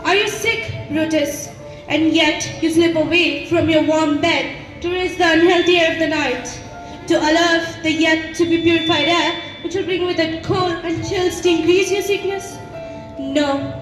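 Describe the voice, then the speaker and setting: A woman's voice: very high voice, fairly even intonation, unhurried. A young woman acting an earnest, insistent noble Roman wife in a school play, pleading in a declamatory way. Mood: concerned, probing. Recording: echoey stage from far off, music behind.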